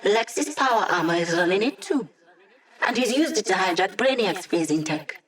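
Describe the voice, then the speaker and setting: A woman plays a sly young hacker who teases her team with wry one-liners. Her voice sits at an ordinary middle pitch, and she keeps the banter light and knowing. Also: animated, lightly acted. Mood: teasing. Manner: wry, teasing, quick quips. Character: witty young female hacker, playful and sly. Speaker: hacker support character. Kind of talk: video game voice lines.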